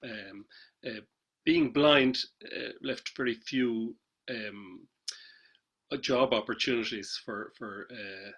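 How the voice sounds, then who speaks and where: Guy on a video call, husky and bright, lots of ums and uhs. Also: mid-range voice, slow. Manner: hesitant, many fillers and restarts. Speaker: panellist, traditional musician. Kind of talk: casual webinar talk.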